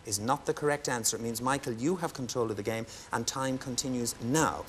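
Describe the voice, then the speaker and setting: A man hosting a television quiz show, announcing clues and scores in a crisp, formal way and lifting into cheers for winners. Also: mid-range voice, brisk. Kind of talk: brisk game-show hosting. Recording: studio audience and background noise.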